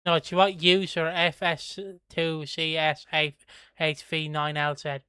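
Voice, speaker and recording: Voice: mid-range voice, flat delivery, slow, sharp tone, clear, resonant tone, no room sound. An Irish host from Kildare chatting casually on a livestream, rambling, swearing and laughing while reading viewer comments. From Ireland. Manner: plugs merch in a breezy, offhand way.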